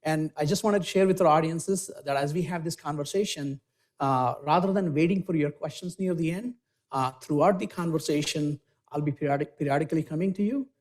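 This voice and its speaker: A man hosts an event on a university auditorium stage, his voice sitting a little high for a man. He introduces and questions his guest formally and eagerly, with a few "uh"s along the way. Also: a little fast. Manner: warm, eager, formal with small hesitations. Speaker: moderator and university IT executive. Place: university auditorium, live audience.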